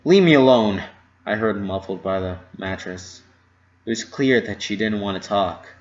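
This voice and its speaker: A man's voice: deep voice, flat delivery, normal speed, highs cut off, a little hiss or hum. A man giving a theatrical, emotive dramatic reading of a first-person story. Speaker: amateur story reader.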